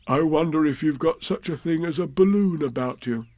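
Guy doing a slow-witted honey loving bear from a kids storybook, earnest, draws his words out, musing. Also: a little high, dark tone, no room sound, clean studio sound, voice pushed up, natural voice. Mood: earnest, scheming. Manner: ponderous, drawn-out, musing.

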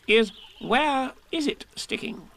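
A man voicing a fussy, self-important rabbit who speaks in a pompous, drawn-out way in a storybook reading. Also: very high voice, expressive, a bit bright, slight background noise, voice pushed up, exaggerated voice.

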